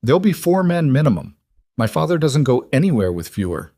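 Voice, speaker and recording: A man's voice: medium pitch, sing-song, brisk, mellow, dry recording, pitched above the performer's own voice. A guarded young mafia heir in an audiobook thriller, played by a male narrator. He sounds conflicted and reluctant, in a dry recording with no room sound.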